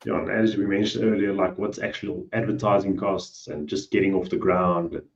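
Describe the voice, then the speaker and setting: A deep-voiced man with a South African accent speaks casually in an interview, pausing often and trailing off mid-thought. His audio comes through a dull, echoey room. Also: steady tone, creaky. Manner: hesitant, trailing off, frequent "you know". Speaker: guest, cleaning business owner. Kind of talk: casual video call interview.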